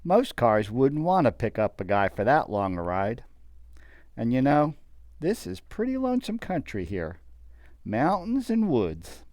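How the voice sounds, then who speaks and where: Animated, folksy man playing a gas station attendant. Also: mid-range voice, a little slow, no echo, studio quality. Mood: incredulous, folksy. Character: folksy, chatty male gas station attendant, plain-spoken. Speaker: supporting actor. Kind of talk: radio drama dialogue.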